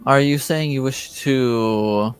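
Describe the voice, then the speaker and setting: A man playing a guarded, courtly lord in a fantasy tabletop game, speaking in a formal and deliberate manner. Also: mid-range voice, clean tone, soft background music, close to an everyday voice. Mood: guarded, measured.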